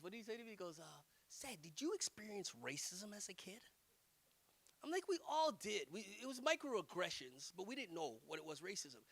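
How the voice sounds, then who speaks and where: A young man performing a stand-up comedy set at a wedding reception on a handheld mic, telling animated stories and laughing at his own jokes. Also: high-pitched, no echo. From Jamaica. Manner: animated storytelling with self-amused laughs.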